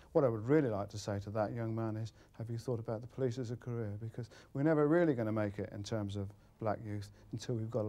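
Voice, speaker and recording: A man's voice: fairly low pitch, mellow. A man speaking as a senior police officer in a formal news interview.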